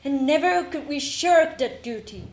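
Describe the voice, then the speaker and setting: A woman recites in a declamatory readers theater style, phrase by measured phrase. Her voice is high, and the room gives it a noticeable echo. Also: expressive, darkish tone. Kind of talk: dramatic recitation, acting out dialogue. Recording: roomy echo.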